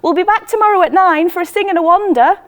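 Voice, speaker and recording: A woman voicing a blunt, affectionate older choir friend, calling out loudly and colloquially in a dramatic monologue. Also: very high-pitched, quick pace, big-room sound, pitched above the performer's own voice. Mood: hearty, affectionate.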